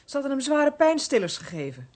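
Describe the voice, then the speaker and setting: A worried young woman in a radio drama. Her voice is fairly high, polite and hesitant. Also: very animated, a little fast, a little mellow. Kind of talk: radio play acting.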